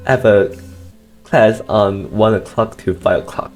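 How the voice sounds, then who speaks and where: Teenager, high school student, learner English, hesitant, giggly, repeats words, mid pitch, faint music. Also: steady tone, unhurried. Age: teens. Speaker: senior high student, interviewee. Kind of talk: casual interview in learner English.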